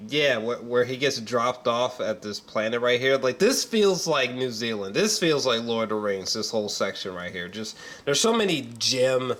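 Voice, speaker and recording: A man's voice: medium pitch, expressive, normal speed, few pauses, fairly bright tone. Rambling man giving a casual movie reaction. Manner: rambling, frequent throat clearing and repeated words; profane, slangy, emphatic. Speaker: film reviewer.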